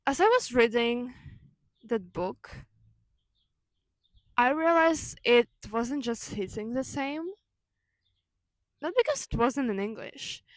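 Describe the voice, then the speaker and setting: A woman's voice: high-pitched, no echo, low-quality recording. A young woman whose first language is Ukrainian, talking in a reflective, conversational way with fillers and self-corrections. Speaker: vlogger. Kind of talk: reflective personal monologue.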